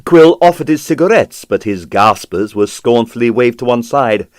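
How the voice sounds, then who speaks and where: A man's voice: medium pitch, expressive, moderate pace, no echo, studio quality. A man reading a story aloud as dramatic scripted narration. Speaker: audiobook narrator.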